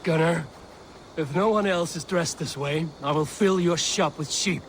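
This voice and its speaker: High-voiced wry Viking warrior. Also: male voice, measured pace, a little hiss or hum. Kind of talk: video game dialogue acting.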